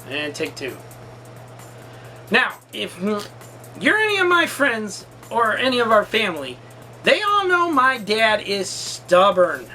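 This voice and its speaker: Bright-voiced man in his forties telling stories. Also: sits high. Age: 40s. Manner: rambling, emphatic, repeats himself. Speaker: storytime vlogger. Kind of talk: casual personal storytelling.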